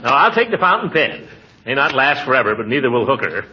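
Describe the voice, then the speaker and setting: An actor playing a blustery, good-natured middle-aged uncle with a pompous, hemming manner in 1940s radio comedy style. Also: male voice, medium pitch, big pitch swings, fast, lightly acted. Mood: blustery, haggling. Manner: blustery, hesitant hemming, exclamatory. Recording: muffled, hissy 1940s radio recording.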